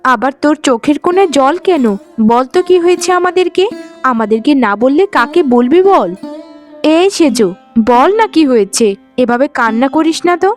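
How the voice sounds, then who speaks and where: A woman's voice: sits high, lively intonation, normal speed. A woman voicing a protective, righteous elder sister-in-law in a cartoon, speaking in a firm, reproachful, concerned way. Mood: concerned, coaxing. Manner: coaxing yet firm, reproachful. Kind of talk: melodramatic cartoon voice acting. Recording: music behind the voice. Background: music.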